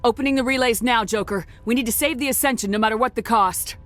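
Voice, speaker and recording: An actress playing a steadfast, commanding space commander hero in a video game cutscene. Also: female voice, a little high, fast, faint music behind, natural voice. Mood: decisive. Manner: firm, commanding.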